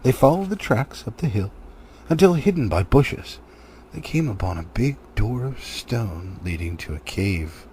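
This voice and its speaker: A man's voice: mid-range voice, animated, measured pace, darkish tone, a little hiss or hum. A man narrating a storybook expressively as an audiobook reader.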